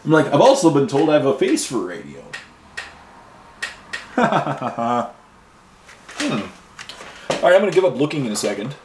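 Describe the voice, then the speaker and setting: A man's voice: fairly high pitch, very animated, moderate pace, long pauses, a little mellow. A man chatting casually as he paints miniatures for a hobby video, rambling from one thing to the next with plenty of fillers. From Ireland and Canada. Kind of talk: casual chat while painting. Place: home hobby room. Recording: roomy echo, voice a bit far from mic, faint noise.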